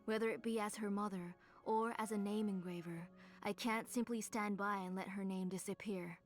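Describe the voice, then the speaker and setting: A woman voicing a gentle, devoted but ailing mother in a video game, speaking earnestly and with measure over music. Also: mid-range voice, steady tone, normal speed, close to an everyday voice. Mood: weary, sorrowful.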